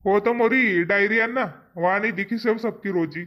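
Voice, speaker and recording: A man's voice: sits high, normal speed, close to an everyday voice. A man playing a tight-fisted village farmer in a cartoon comedy, bargaining firmly with a Powari accent. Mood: questioning. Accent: Powari, eastern Maharashtra and Balaghat region. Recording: dull, muffled lo-fi sound.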